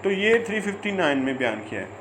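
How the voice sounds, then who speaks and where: High-pitched Pakistani man lecturing on law. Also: big pitch swings, background noise. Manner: halting, repeats phrases, stretches out English words when reading. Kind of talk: informal lecture, reading English statute and explaining it.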